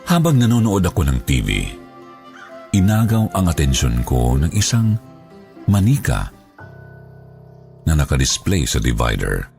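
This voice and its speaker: A man with a Filipino accent narrating a scripted first-person horror story in a dramatic, deliberate way. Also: deep voice, huge pitch swings, gravelly, darkish tone. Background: background music.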